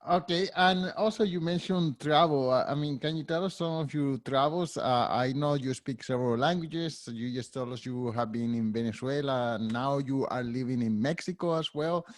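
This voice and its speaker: A man's voice: medium pitch, normal speed, few pauses. A man hosting an interview, asking brief, direct questions. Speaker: podcast host.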